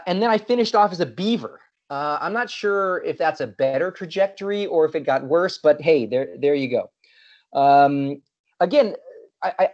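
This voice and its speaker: A man's voice: mid-range voice, a little mellow. Middle-aged man, easygoing guest talk with many restarts, muffled call audio. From California, USA. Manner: friendly, with many restarts and repeated words. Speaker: guest speaker. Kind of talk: casual presentation. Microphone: video call.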